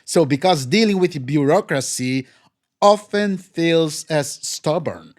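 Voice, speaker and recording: A man's voice: fairly high pitch, lively intonation, slow. A man whose first language is Portuguese, co-hosting a podcast in a playful, casual way and often affirming his partner. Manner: playful, punny, eager affirmations. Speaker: podcast co-host. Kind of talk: casual chat.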